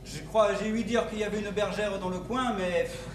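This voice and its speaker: A man's voice: sits high, steady tone, moderate pace, close to an everyday voice. A man playing a lonely, put-upon newcomer from the mainland in improvised stage comedy, plaintive and hesitant. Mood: complaining. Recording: echoey hall from far off, noisy.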